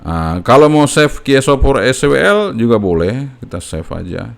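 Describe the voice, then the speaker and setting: A man's voice: medium pitch, big pitch swings, normal speed, vocal fry. A man giving a casual, unscripted step-by-step tutorial as a hobbyist, in an informal manner with frequent checking tags.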